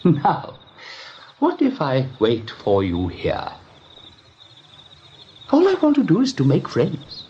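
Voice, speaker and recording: A man's voice: a little high, huge pitch swings, slow, long pauses, mellow, heavily acted. A man playing an urbane, over-polite, slyly welcoming rabbit in an eighties radio drama, with languid, affected manners. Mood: coaxing. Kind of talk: 1980s radio drama acting. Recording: dull, faintly noisy old radio tape.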